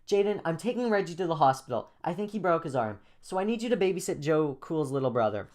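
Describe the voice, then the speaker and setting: A man voicing a busy, concerned cartoon mother, brisk and questioning. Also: a little high, lively intonation, darkish tone, voice pushed up, close to an everyday voice.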